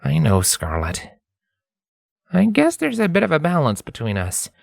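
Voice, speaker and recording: Man voicing a higher-pitched gentle scholar pony. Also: huge pitch swings, normal speed, creaky, no echo, heavily acted. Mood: teasing. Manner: casual, reassuring, hesitant. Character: optimistic, scholarly male pony, gentle and caring.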